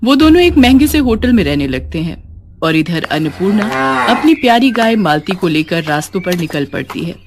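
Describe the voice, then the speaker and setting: A woman narrates a scripted moral tale in expressive storyteller fashion. Her pitch is fairly low but very animated, and there is slight noise behind her. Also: normal speed.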